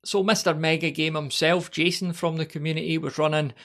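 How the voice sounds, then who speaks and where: A man's voice: on the high side, flat delivery, brisk, fairly bright tone. A man with a Scottish accent hosting a casual on-location interview, with crowd chatter behind him. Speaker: podcast host interviewing attendees. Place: busy gaming event venue.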